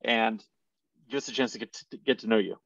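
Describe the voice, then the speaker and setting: A middle-aged man hosts a casual interview, talking quickly. His headset sound is dry and a little dull, as on a video call. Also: lowish voice, fairly even intonation, fairly bright tone, no echo. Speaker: interview host. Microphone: headset, close and dry.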